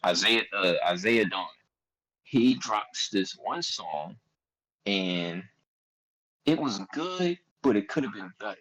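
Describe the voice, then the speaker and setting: A man's voice: fairly low pitch, expressive, slow, fairly bright tone, poor audio. A young man with a Philadelphia accent telling a story in a casual chat, loose and slangy, often quoting himself. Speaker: guest artist.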